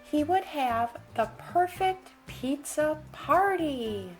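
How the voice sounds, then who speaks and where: A woman's voice: high-pitched, lively intonation, slow pace, soft background music. A woman reading a children's picture book aloud in a playful, exaggerated, sing-song manner.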